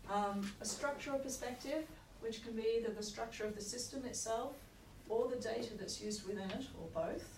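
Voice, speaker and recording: A woman lecturer giving a university lecture with a croaky voice, explaining at a measured pace with frequent checks like "okay". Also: on the high side, darkish tone. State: croaky, recovering from lost voice. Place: lecture hall. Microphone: room recording from far off. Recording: echoey hall, distant mic, noisy.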